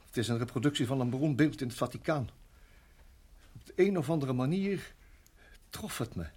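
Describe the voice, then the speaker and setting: An actor in a radio play voicing a reflective, middle-aged married farmer who reminisces in a measured, halting way. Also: male voice, on the low side, a little mellow, husky. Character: weary, reflective married farmer recalling his years in Rhodesia.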